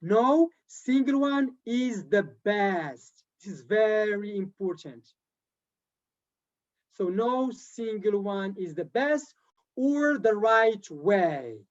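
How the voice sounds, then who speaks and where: A man's voice: high voice, lively intonation, slow pace, lo-fi sound. A man leading a live online teacher-training session, lecturing in an encouraging way and repeating his key points. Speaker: TESOL trainer.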